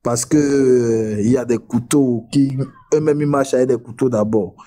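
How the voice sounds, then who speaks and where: A man from Cameroon with a dark, mellow voice talks through an online debate stream. He restarts his sentences, repeating words before he gets going. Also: mid-range voice, fairly even intonation, normal speed. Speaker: live debate participant. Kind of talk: casual online debate chat.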